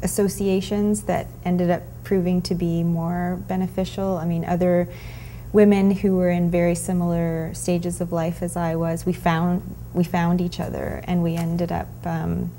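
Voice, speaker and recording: A woman lawyer giving a measured interview answer, with frequent soft fillers. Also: fairly low pitch, level tone, a little hiss or hum.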